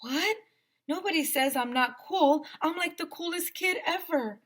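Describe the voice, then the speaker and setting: A woman's voice: very high voice, measured pace, fairly bright tone, voice pushed up. A woman voicing a cocky, defensive schoolboy who is full of himself, in a storybook reading. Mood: indignant. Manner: indignant, self-important.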